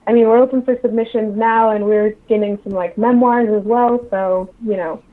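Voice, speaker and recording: A woman's voice: on the high side, steady tone, normal speed. Woman guest on a dull call line. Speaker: interview guest, associate editor at a publisher. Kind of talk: casual interview answers. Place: remote call. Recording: muffled, band-limited remote call line.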